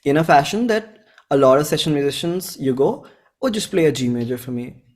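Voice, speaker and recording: A man's voice: a little high, animated, fairly quick, a little mellow. A man in his twenties with an Indian accent, chatting informally as an interview guest, circling back and repeating phrases as he explains. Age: 20s. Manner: informal, rambling, repeats phrases, acts out bits of dialogue. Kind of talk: casual conversational interview.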